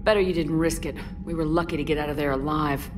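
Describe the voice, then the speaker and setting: A woman's voice: sits low, fairly even intonation, quick pace, natural voice. An actress playing a stern female military commander who speaks tersely and bluntly. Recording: game audio with music bed.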